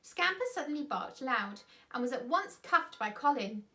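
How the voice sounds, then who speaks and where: A woman's voice: high-pitched, animated, moderate pace, pushed and bright. A woman reading a children's story aloud expressively, with dramatic emphasis. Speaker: storyteller, school reader.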